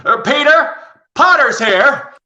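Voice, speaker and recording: Forgetful middle-aged uncle, voiced by a man, flustered, lovable, pitched up, lively radio drama character. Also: slow pace, roomy sound, muffled recording, full character voice. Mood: alarmed. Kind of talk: radio play acting.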